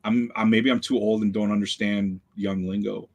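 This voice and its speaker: Low-voiced middle-aged man chatting easily. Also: level tone, normal speed, dull audio. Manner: rambling, easygoing, trails off with "so". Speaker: podcast host. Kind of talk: casual chat. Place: home collection room.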